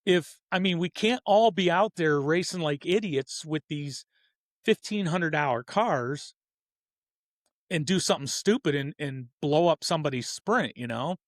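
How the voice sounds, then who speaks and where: A man in his fifties with a slightly high voice, recorded dry and close. He hosts a casual hobby racing show and speaks his opinions earnestly, wandering and correcting himself along the way. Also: lively intonation, unhurried, no echo. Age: 50s. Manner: earnest, rambling, self-correcting.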